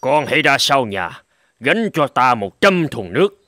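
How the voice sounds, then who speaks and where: A man voicing a stern, wise old sword master who lectures his students in a measured, fatherly way in a cartoon. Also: mid-range voice, sing-song, bright, forceful tone, no echo, clean studio sound. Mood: stern lecturing. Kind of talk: scripted Vietnamese cartoon dub, telling a parable.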